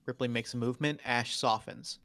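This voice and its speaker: A man's voice: mid-range voice, steady tone, fairly quick, a bit bright. A man with a mid-range voice serves as narrator at a table read. He delivers scripted scene headings and stage directions between the actors' lines.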